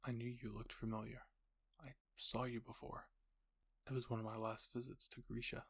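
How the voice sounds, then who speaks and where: A man voicing a grave, reserved older military instructor in a story, earnest and deliberate. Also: mid-range voice, level tone, slightly rough. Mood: pleading.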